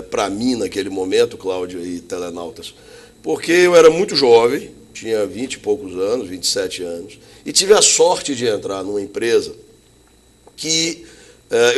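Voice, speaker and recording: A middle-aged man from Bahia, telling his career story as an interview guest in a chatty, anecdotal way with frequent tag questions. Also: medium pitch. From Bahia, Brazil. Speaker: interview guest, logistics executive.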